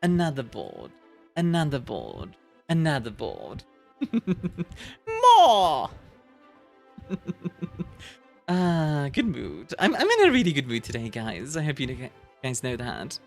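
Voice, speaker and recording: Lively giggly woman, British-leaning English. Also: extremely low pitch, a little slow, gravelly, soft background music. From Belgium. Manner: bubbly, giggly, chatty with frequent fillers. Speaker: streamer. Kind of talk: casual chat reading live chat.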